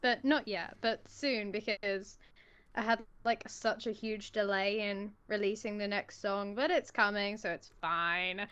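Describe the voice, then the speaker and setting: A woman born in America and living in England, co-hosting a casual chat stream, chatty and quick to laugh. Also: mid-range voice, fairly even intonation, fairly bright tone, clear, resonant tone. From born in the United States, lives in England. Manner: chatty, giggly, lots of fillers. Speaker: co-host.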